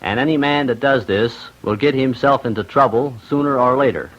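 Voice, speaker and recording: A man giving stiff, halting testimony as a witness. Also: medium pitch. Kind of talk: recorded personal testimony. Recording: dull old radio recording.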